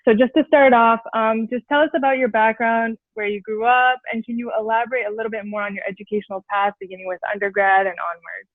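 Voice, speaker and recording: A woman's voice: on the high side, little pitch movement, fast, few pauses, no room sound. A woman hosting an interview, reading an introduction and then asking loose, friendly questions. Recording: dry, tinny phone-like call audio.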